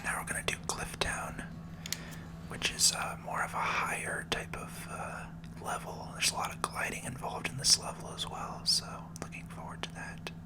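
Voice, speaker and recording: A person whispering gentle, unhurried ASMR commentary while playing a video game. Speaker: gaming video host.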